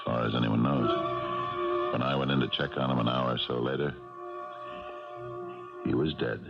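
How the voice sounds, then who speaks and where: An actor playing a loyal, slow-talking pal who stammers through his story in a 1970s radio mystery. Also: very deep voice, fairly even intonation, vocal fry, loud music behind the voice, exaggerated voice. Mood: somber narration. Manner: hesitant, stammering, full of uhs. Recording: dull vintage radio broadcast.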